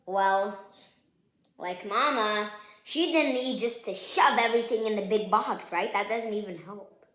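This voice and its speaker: A woman with a bright, forward voice hosts a children's read-aloud. She is chatty and informal, talking straight to young viewers. The room around her is noticeably echoey. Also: medium pitch, unhurried. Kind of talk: storybook read-aloud and casual chat to viewers.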